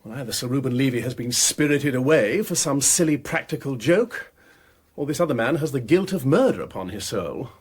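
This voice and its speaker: Radio drama: breezy upper-class English male amateur sleuth, husky, animated delivery. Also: medium pitch, a little fast. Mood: dramatic.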